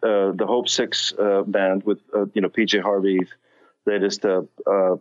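Low-voiced man with a light accent, rambling. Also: level tone, normal speed, lo-fi sound. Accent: light non-native accent. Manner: rambling, many restarts and fillers. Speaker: musician guest. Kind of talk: casual interview chat.

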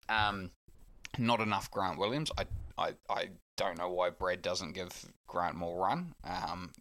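A man's voice: low-pitched, takes it slowly, a bit bright, no echo. A man from New Zealand co-hosting a casual podcast chat, talking with many fillers and restarts. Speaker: podcast co-host.

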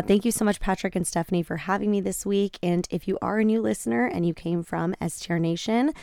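A woman podcast host speaks in a casual, emphatic way, as if advising her listeners. Her voice sits a little low and sounds slightly croaky. The recording is dry, with very little room sound. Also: no echo. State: slightly raspy, croaky voice after a conference. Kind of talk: casual solo podcast talk, advice.

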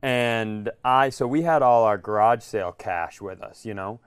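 An American man hosting a comedy podcast, telling sweary, animated anecdotes in a casual style. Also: fairly low pitch, measured pace, clear, resonant tone, no room sound, studio quality. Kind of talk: casual comic storytelling.

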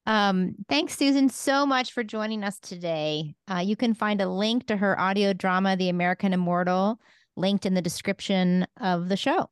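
A woman's voice: medium pitch, normal speed, clean tone, no echo, clean studio sound. A woman hosting a podcast interview in a conversational style, putting questions to a guest. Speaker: podcast host.

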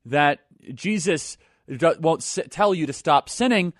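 Talk show host guy, kinda high voice, fired up and indignant, super dry close mic. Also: expressive, a little slow, no room sound. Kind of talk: opinionated talk show commentary.

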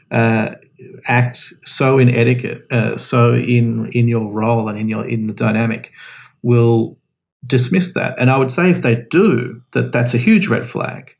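Man, gravelly voice, podcast guest, casual explanatory advice. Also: medium pitch. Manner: relaxed, explanatory, many restarts. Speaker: guest answering questions.

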